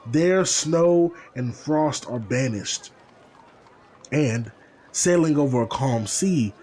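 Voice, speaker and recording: A man's voice: mid-range voice, darkish tone, natural voice, low-quality recording. An actor playing a hopeful male explorer, reading out a letter to his sister in an earnest, formal, rhapsodic way for an audio drama. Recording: faint background ambience noise. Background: faint ambience.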